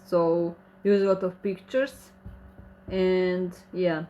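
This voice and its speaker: A woman's voice: on the low side, little pitch movement, takes it slowly, dark tone, highs cut off, slight background noise. A woman with a Croatian accent, who speaks Croatian natively, hosts a casual livestream Q&A. She reads viewer comments aloud and answers them in friendly, slightly broken English. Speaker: channel host reading chat questions.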